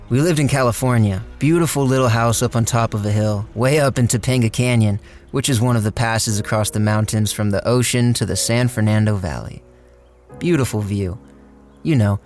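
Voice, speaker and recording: Actor as a low-voiced, folksy handyman narrator. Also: a little mellow, lightly acted. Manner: folksy, colloquial, rambling. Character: easygoing handyman and companion telling his shady story. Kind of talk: radio drama first-person narration and dialogue.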